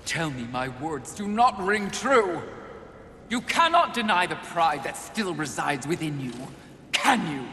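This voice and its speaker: A haughty, scornful demon as a video game villain, speaking slowly and grandly. The persuasive voice carries through an echoing hall with faint noise behind it. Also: female voice, on the low side, sing-song.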